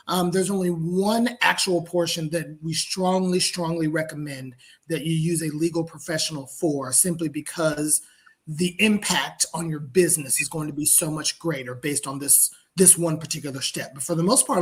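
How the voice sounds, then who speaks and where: A man from the United States presenting as a guest over a video call, friendly and casual with frequent ums. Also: high voice. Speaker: guest business founder. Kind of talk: webinar presentation.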